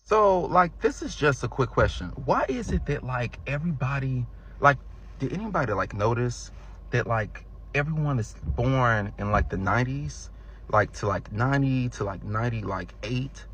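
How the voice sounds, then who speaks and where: A man of thirty on a casual, incredulous rant, halting and peppering it with "like". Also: mid-range voice, lively intonation, a bit bright, a little hiss or hum.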